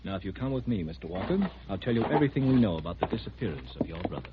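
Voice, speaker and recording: Guarded army major, swooping low voice. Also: male voice, expressive, racing. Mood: probing. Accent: American. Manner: clipped, official, probing. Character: brisk, guarded army major interrogating a visitor. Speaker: military security officer. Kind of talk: 1950s radio drama acting. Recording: muffled, hissy old radio recording.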